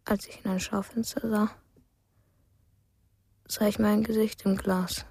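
A woman playing a withdrawn, frightened young boy in a radio drama, with a soft, hushed, halting delivery. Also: medium pitch, little pitch movement, gravelly, husky, no room sound. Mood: dreamy, subdued. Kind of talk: German radio drama monologue.

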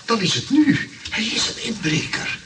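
An earnest, stubborn engineer in a comic radio play, with a Netherlands Dutch accent. His voice is animated and a little high, insistent and pleased with itself. It comes from afar on a noisy old recording. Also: normal speed, far from the mic. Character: eccentric, stubborn, self-satisfied inventor engineer.

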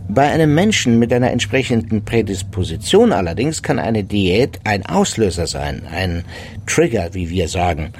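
An actor playing a middle-aged psychiatrist in a German radio drama, explaining in a measured, clinical, matter-of-fact way. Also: male voice, on the low side, big pitch swings, a little mellow, slight background noise. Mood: explanatory, composed.

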